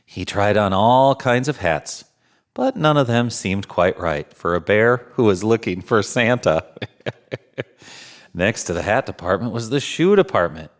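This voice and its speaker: A man reading a children's storybook aloud as a jolly storyteller, with unhurried, dramatic phrasing. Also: fairly low pitch, animated. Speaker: storyteller in Santa persona.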